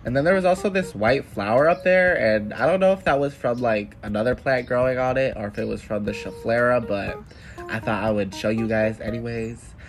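A man's voice: mid-range voice, a little fast, soft background music. A young man in his twenties, congested and nasal from a cold, giving a chatty, upbeat tour of garden plants. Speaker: plant vlogger. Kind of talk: casual plant tour voice-over. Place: indoor room with plants.

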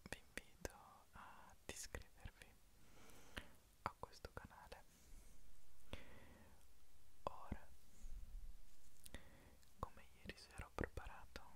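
Male ASMR host, unvoiced whisper, unhurried pace, gentle and halting delivery, close-miked. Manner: gentle, halting, lingering on words. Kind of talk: whispered ASMR, introducing a poetry reading. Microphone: close ASMR microphone.

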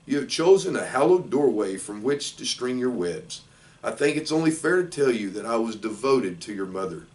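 Man as a gentle, earnest storybook pig. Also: mid-range voice, expressive, moderate pace, natural voice. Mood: earnest, devoted. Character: gentle, emotional, devoted male storybook pig. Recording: distant microphone in a room.

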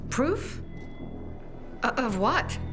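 A woman's voice: high-pitched, big pitch swings, very slow, heavily acted. An actress playing a tough young female faction leader in a video game, firm, urgent, defiant and protective. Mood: indignant. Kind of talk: dramatic video game acting. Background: game music.

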